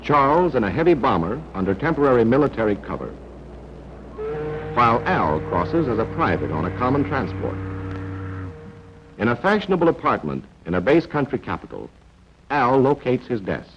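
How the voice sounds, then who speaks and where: A man's voice: mid-range voice, big pitch swings, normal speed, long pauses, a little hiss or hum. A man reading scripted narration for a 1940s training film. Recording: muffled 1940s film soundtrack. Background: music.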